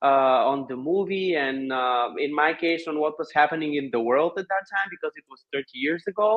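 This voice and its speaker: Higher-pitched Bulgarian man, slightly muffled recording. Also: fast talker. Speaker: podcast guest. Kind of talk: casual conversation.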